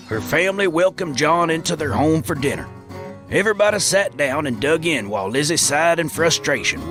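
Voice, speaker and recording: Guy narrator, folksy comic storytelling, guitar playing behind him. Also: fairly high pitch. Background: guitar music.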